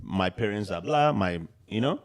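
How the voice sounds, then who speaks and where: A man's voice: fairly low pitch, expressive, normal speed. A man born in Canada co-hosting a casual discussion, explaining history in a lecturing way with emphatic rises.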